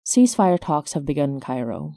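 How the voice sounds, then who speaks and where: A woman's voice: low voice, sing-song, brisk, dark tone, no echo. A woman hosting her podcast, reading scripted announcements as its creator.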